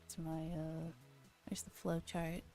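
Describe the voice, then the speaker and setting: Female ASMR game streamer, soft-spoken and mellow, giggly asides, music underneath. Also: low voice, little pitch movement, slow pace. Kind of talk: ASMR visual novel read-aloud and playthrough. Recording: music behind the voice. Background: background music.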